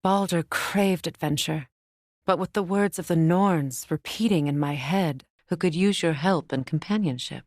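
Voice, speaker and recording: Actress as grave, proud Norse warrior goddess. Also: female voice, on the low side, a little mellow, no room sound, studio quality, natural voice. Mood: grave, measured. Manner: measured, formal, earnest. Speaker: video game voice actress. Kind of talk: dramatic game dialogue.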